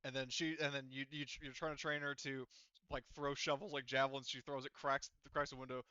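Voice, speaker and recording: A man's voice: medium pitch, flat delivery, brisk, bright, forceful tone, clear, resonant tone, no echo, muffled recording. A man running a tabletop roleplaying session as game master, talking conversationally and often searching for his words.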